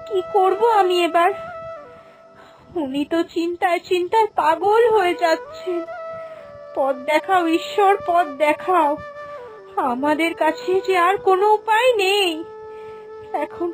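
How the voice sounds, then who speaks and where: Woman acting a very high, plaintive farmer's wife. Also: slow, pauses often, faint music behind, rough recording. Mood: tearful prayer. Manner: plaintive, imploring. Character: anxious, pious, pleading poor farmer's wife. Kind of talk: Bengali animated folk-tale acting.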